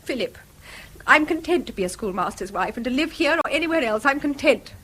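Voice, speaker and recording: An earnest schoolmaster's wife in a mid-century radio play. Her received English voice is high and very animated. She speaks with strained, proper, pleading emphasis. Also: brisk. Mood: fierce, desperate. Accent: English, received pronunciation. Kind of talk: mid-century British radio drama acting.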